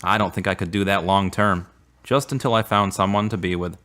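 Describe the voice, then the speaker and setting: An actor as an earnest, low-voiced man. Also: fairly even intonation, brisk, fairly bright tone. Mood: earnest, wistful. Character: earnest, devout man saddened by a fading romance. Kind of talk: radio drama dialogue.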